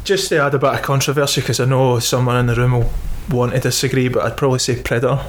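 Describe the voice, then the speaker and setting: Terse co-host, dry replies. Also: male voice, mid-range voice, steady tone, fast, a little hiss or hum. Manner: terse, dry, keeps saying "aye". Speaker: podcast co-host. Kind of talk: casual film podcast chat.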